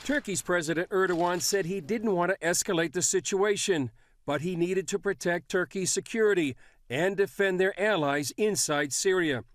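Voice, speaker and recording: A man's voice: high-pitched, moderate pace, no room sound. A man narrating a scripted news report as a correspondent.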